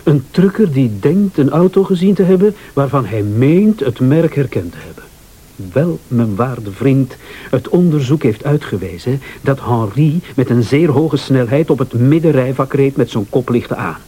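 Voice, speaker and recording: A man's voice: medium pitch, lively intonation, moderate pace, warm tone, husky. An actor playing a cornered, scheming lover in a radio thriller, a cynical man with a Flemish accent and a sarcastic, dismissive manner. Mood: dismissive, defensive. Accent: Flemish Belgian.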